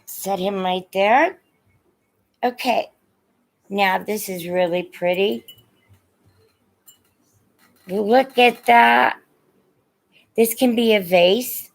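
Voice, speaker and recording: Slow-talking Midwestern American woman, creaky voice. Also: mid-range voice. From Indiana, United States. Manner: chatty, addresses viewers with endearments. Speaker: live online seller of vintage and antiques. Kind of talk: casual livestream sales chat.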